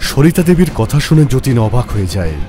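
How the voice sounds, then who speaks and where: A man giving scripted narration of an animated horror story. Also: mid-range voice, brisk. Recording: music behind the narration.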